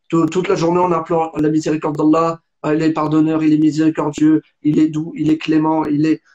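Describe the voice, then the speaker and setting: High-voiced young man in rambling discussion. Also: mellow, rough recording. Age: 20s. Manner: rambling, searching for words, many restarts. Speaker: interviewer. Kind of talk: conversational religious discussion.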